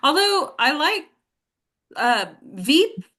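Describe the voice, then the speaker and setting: Bright-voiced woman leading a casual discussion. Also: sits high, sing-song, drawn-out words, slight background noise. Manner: hesitant, self-correcting, informal. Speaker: host and director of a table-read discussion. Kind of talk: casual discussion, thinking aloud.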